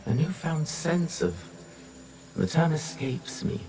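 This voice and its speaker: A man playing an enigmatic, soothing guide of a memory simulation in a video game, speaking in a measured, solemn way. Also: a little high, animated, darkish tone, a little hiss or hum, rough recording. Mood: curious, cryptic. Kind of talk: scripted video game narration. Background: music.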